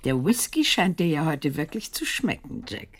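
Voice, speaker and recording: A woman playing a dryly curious companion in a German radio drama, speaking in a casual, gently probing way. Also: sits low, very animated, normal speed, background noise.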